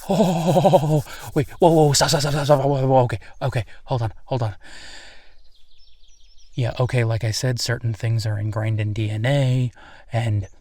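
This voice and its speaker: A man playing an easygoing, playful werewolf boyfriend in a roleplay audio, talking casually and haltingly with a self-deprecating streak. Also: medium pitch, steady tone, darkish tone, no room sound, natural voice. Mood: flustered pleasure, then sheepish, amused. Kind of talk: intimate boyfriend roleplay audio, improvised-sounding one-sided conversation.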